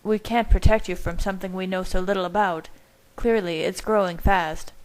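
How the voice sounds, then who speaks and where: A woman voicing a compassionate older male doctor who speaks gently but firmly, with sorrow. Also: medium pitch, fairly even intonation, normal speed, natural voice, low-quality recording. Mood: gentle.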